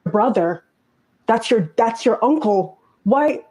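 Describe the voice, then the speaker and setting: A woman giving earnest, emphatic social commentary in a video clip, talking in quick bursts. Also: a little high, animated, mellow, slightly rough, rough recording.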